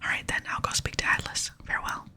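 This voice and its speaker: A woman's voice: fast, pushed and bright. Woman whispering as an eager young-at-heart adventurer. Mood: polite. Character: eager, easily flustered female adventurer in training. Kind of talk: whispered ASMR reading of game dialogue.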